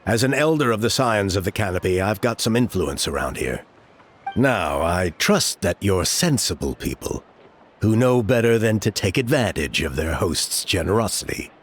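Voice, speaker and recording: A stern, protective middle-aged elder in a game, played by a man with a lowish voice that rises and falls a great deal. He speaks in a measured, formal way. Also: animated, slight background noise, natural voice.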